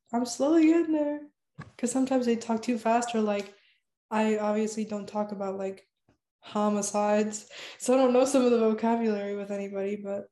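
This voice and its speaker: Young woman, spanish first language, chatty, says like a lot, flat mellow voice, roomy echo. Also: mid-range voice. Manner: chatty, many "like" fillers, laughs often. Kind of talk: casual chat.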